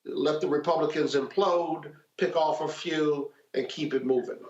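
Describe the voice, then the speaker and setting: A man's voice: on the high side, moderate pace, a little mellow. Echoey, slightly muffled American male pundit. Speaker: political panelist. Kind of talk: unscripted political commentary. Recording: echoey room, slightly dull remote audio.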